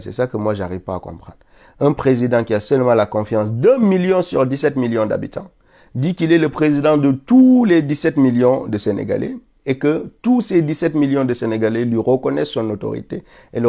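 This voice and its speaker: A man's voice: medium pitch, lively intonation, fairly quick, vocal fry, dark tone. A man from Senegal with a cold, giving an insistent live political monologue that climbs to indignant peaks. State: stuffy with a cold. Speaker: political commentator streaming live.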